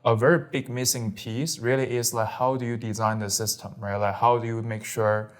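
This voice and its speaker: A young man from Singapore, a guest on an interview podcast, talking in a quick, upbeat way with lots of "like". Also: mid-range voice, level tone. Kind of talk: casual interview.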